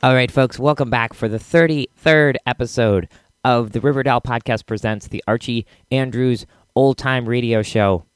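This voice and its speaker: A man's voice: medium pitch, moderate pace, smooth, dry recording. Dry-sounding man hosting a podcast. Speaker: podcast host. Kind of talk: casual scripted podcast introduction. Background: music.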